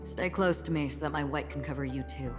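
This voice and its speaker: Actress as a low-voiced, solemn woman warrior. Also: lightly acted. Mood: calm, serious. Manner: measured, grave, formal delivery. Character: calm, solemn, enigmatic adult woman warrior in a sci-fi fantasy game. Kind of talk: video game voice acting. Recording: game audio with music behind.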